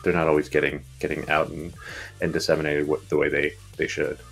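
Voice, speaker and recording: Deep-voiced man rambling with fillers. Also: level tone, fairly quick, creaky, a bit bright, breathy edge, faint music behind, low-quality recording. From Uruguay. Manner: rambling, many fillers and restarts. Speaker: guest futurist. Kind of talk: casual interview answers. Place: home office, indoors. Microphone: earbuds on video call.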